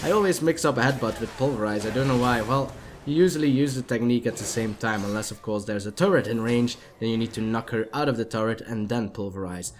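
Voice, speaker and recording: A tipsy man with a Dutch accent casually commentating a video game match, chatty and rambling, with sudden excited outbursts. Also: medium pitch, quick pace, few pauses, slight background noise.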